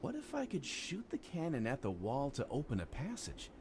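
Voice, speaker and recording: An actor playing a kind, resourceful adventurer hero in a video game, expressively thinking aloud. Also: male voice, medium pitch, huge pitch swings, normal speed, noisy background. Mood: pondering. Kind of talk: scripted video game player-character remarks. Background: music.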